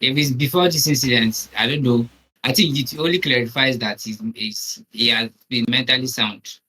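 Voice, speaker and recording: A man's voice: medium pitch, moderate pace, sharp tone, husky, poor audio. A young man in casual discussion on a video call, repeating phrases as he reasons. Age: young adult.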